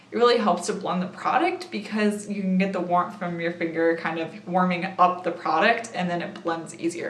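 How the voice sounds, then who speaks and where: A young woman vlogger in a chatty makeup haul. Her voice is slightly raspy and tired after a late night, and she thinks aloud while she applies makeup. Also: fairly low pitch, a bit bright, echoey room. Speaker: beauty vlogger. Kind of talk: casual makeup haul and try-on.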